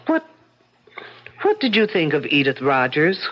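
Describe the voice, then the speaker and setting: An actress playing a brisk, sharp-tongued woman detective in a 1970s radio drama. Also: low voice, sing-song, heavily acted. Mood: probing. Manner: brisk, impatient, probing. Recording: dull old radio broadcast.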